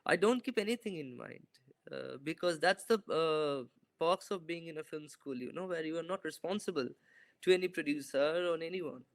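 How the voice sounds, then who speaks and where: Young guy on a video call, slightly high voice, dry sound, pauses and restarts a lot. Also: no room sound. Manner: thoughtful, hesitant, many fillers and restarts. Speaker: filmmaker guest. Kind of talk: reflective interview answers. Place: bright indoor room. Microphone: video call, laptop camera.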